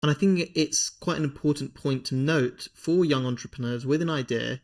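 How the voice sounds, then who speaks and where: A man's voice: fairly high pitch, darkish tone. Male podcast host asking questions. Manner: measured, long framed questions. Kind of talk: interview questions.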